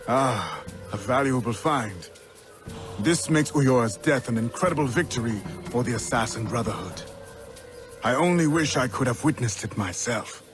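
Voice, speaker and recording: An actor playing a stern, domineering older assassin mentor in a video game, with a formal, commanding manner. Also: male voice, mid-range voice, animated, moderate pace, music in the background, close to an everyday voice. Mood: grave, eager.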